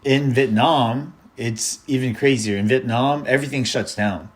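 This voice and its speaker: A man's voice: medium pitch, normal speed. An American man hosting a casual podcast conversation, talking expressively, swearing freely and often checking that listeners follow. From United States. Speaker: podcast host.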